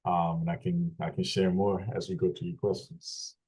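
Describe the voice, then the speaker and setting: Low, flat-voiced man from Louisiana. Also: poor audio. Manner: measured, frequent restarts and fillers, explanatory. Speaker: interview guest. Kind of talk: thoughtful conversational storytelling.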